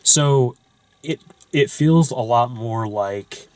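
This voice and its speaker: A man's voice: medium pitch, slow, a little mellow, no echo. A young man chatting casually about a film in a parked car at night, talking loosely with many fillers and restarts. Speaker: movie discussion host.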